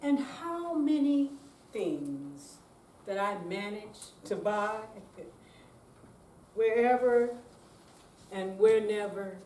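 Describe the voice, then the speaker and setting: A woman's voice: mid-range voice, animated, very slow, pauses often, darkish tone, slight background noise. An older woman giving a dramatic reading as a performer in a live readers theater. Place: senior center room, mic at a distance. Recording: echoey room, far from microphone.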